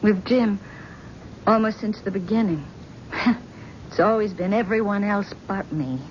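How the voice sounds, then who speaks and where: An actress playing an anxious middle-aged wife who speaks in a halting, pleading way. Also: female voice, medium pitch, a little hiss or hum, close to an everyday voice. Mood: regretful. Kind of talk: 1970s radio drama acting. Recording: dull vintage radio broadcast.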